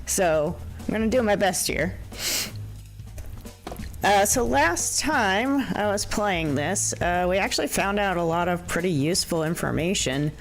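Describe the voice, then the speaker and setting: A hoarse woman streaming a game, chatting casually with her viewers into a desk microphone. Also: fairly low pitch, expressive, unhurried, faint music behind. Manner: chatty, talks to viewers, frequent ums and laughs. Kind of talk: casual livestream commentary.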